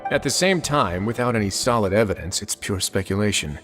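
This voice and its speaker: An actor playing a composed, authoritative duke in a video game, explaining in a measured, analytical way. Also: male voice, fairly low pitch, lively intonation, lightly acted. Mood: measured, decisive. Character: composed, authoritative male duke solving a case. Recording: music clearly behind the voice.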